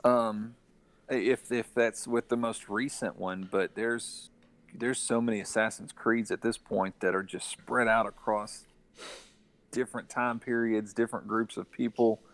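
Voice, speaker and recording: A man's voice: lowish voice, steady tone, unhurried. A man in a casual podcast discussion about video games, talking in an explanatory manner. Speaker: podcast panelist.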